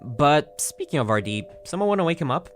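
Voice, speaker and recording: A man's voice: a little high, very animated, a little fast, faint music behind. An actor playing a laid-back, dry-humoured circus driver in a radio drama, casual and folksy, a man's voice. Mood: wry, weary.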